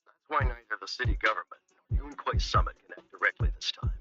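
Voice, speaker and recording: An actor playing a composed, shrewd mayor in a video game, speaking in a formal, measured, diplomatic way. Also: male voice, fairly low pitch, bright, forceful tone, muffled recording, soft background music. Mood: measured, earnest. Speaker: mayor briefing agents. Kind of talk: scripted video game voice acting.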